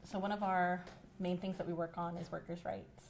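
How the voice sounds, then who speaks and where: Woman activist on a talk show, lowish voice, lively, says you know a lot, faint hiss. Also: moderate pace. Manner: animated, with frequent "you know". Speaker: activist guest. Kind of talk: casual chat.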